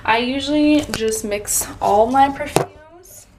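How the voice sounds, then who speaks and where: A young woman with a high voice talks to her viewers in a casual vlog style. She is chatty and informal, with a giggly manner. There is faint noise behind her. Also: slow pace. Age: young adult. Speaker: vlogger. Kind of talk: casual get-ready-with-me vlog chat.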